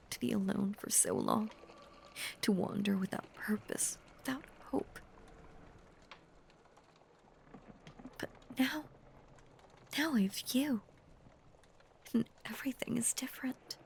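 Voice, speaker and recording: Slow, breathy, possessive werewolf woman, acted by a woman. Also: on the high side. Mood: patient, ominous. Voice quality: breathy, often whispered. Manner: slow, halting, intense confiding delivery. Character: obsessive, possessive female werewolf lover, tender yet menacing. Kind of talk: intimate audio roleplay monologue.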